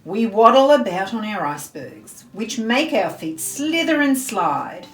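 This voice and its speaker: Storybook penguin, jaunty, raised and lively voice, played by a woman reader, echoey room. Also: medium pitch, unhurried, far from the mic, pitched above the performer's own voice. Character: cheerful waddling little penguin. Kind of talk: picture book read-aloud in verse. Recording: roomy echo, faint music behind.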